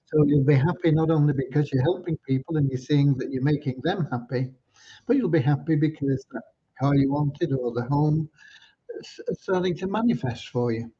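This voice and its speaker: A man from Cornwall hosting an informal livestream, talking in an unhurried, earnest, slightly preachy way. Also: fairly high pitch, dark tone. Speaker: livestream host and community leader. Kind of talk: informal livestream updates with devotional talk. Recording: dull lo-fi livestream audio.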